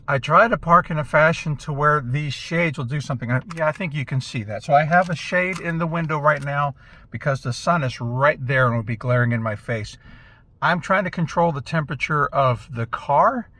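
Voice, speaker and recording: Male vlogger, conversational and easygoing, medium pitch, demonstrating as he talks, close dry in-car recording. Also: no room sound. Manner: relaxed, conversational, demonstrating as talking. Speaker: vlogger, amateur radio operator. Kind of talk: casual explanatory chat to camera. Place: inside a parked car outdoors. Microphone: small camera mic in the car.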